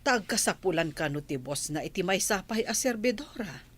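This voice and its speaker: A woman's voice: fairly low pitch, lively intonation, moderate pace, a bit bright, breathy edge. A woman playing a concerned relative sharing news in an audio drama, with a Filipino accent. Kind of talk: Ilocano audio drama acting.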